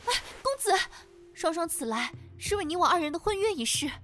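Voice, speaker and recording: An earnest young noblewoman, acted by a woman, speaks in a high voice with polite, classical phrasing. It is period drama, with faint music behind. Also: lively intonation, a little fast, a bit bright. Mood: pleading. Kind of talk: Chinese period audio drama acting.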